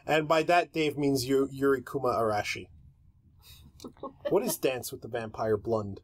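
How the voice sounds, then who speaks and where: A man's voice: medium pitch, measured pace. A man hosting a podcast in casual, rambling banter, with a jokey, mock-indignant way of talking. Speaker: podcast host.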